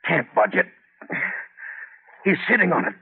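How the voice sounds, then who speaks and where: An imperious, fearful man of wealth, talks in a rough, husky voice. His words come in agitated, clipped bursts, acted in old-time radio horror style. The recording is thin and muffled, like a vintage broadcast. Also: high-pitched, big pitch swings, a little slow, exaggerated voice. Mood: frantic, panicked. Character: rich, imperious man reduced to panicked terror.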